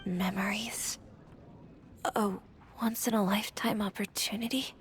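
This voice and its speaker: A woman's voice: medium pitch, steady tone, a little slow, slight background noise, natural voice. Woman as a wistful, hesitant young dreamer. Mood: sad realization. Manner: hesitant, earnest. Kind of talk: video game voice acting.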